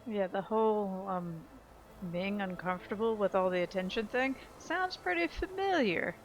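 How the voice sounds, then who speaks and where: Woman doing casual gameplay commentary, kinda thinking out loud, medium pitch, faint game noise behind. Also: animated, moderate pace, low-quality recording. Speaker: gaming video host.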